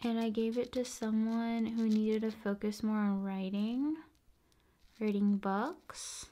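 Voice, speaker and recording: A soft-spoken woman hosting an ASMR video, musing casually in short, paused phrases and often slipping into whispers. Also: on the high side, steady tone. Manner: unhurried, pausing between short phrases.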